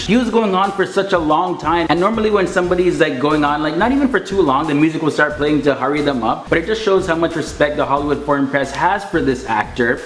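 A young man co-hosting a casual celebrity news show, relaxed and chatty. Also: a little high, roomy sound. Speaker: entertainment news co-host. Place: studio in front of a green-screen backdrop.

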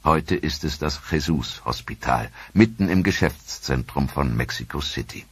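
Very deep grave documentary narrator, a man. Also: lively intonation, husky, no echo. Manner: grave, measured.

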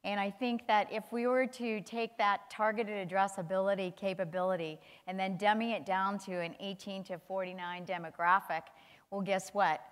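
A woman in her sixties speaking on a conference panel, animated and gesturing as she talks. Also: mid-range voice, moderate pace. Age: 60s. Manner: animated, gestures while talking. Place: large conference hall stage. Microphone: lapel mic. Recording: long hall reverb.

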